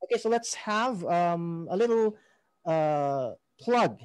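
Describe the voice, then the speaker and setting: A man with a Filipino accent hosting a live program informally, halting as he searches for words. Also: sits high, animated, clear, resonant tone, no room sound, rough recording. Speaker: host.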